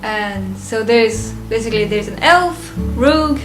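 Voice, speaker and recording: A woman with a fairly high voice talks slowly through a casual fan review. She is unscripted and halting, working out her thoughts aloud and often chuckling, with some music behind her. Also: animated. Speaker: reviewer presenting a ranked list.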